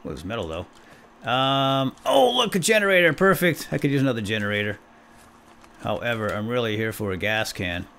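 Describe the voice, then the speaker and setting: A man's voice: medium pitch, very animated, brisk, vocal fry, a bit bright. A man doing casual, playful let's play commentary, talking to himself and his viewers with exclamations. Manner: playful, self-chatting, exclaiming. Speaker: host of a gaming series.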